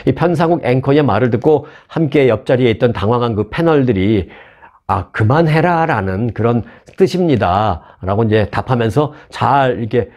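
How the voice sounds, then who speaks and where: A man from Seoul hosts a news commentary show in a relaxed, talkative way. He explains the stories with asides and rhetorical questions, as if chatting with his viewers. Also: medium pitch, moderate pace, highs cut off. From Seoul, South Korea.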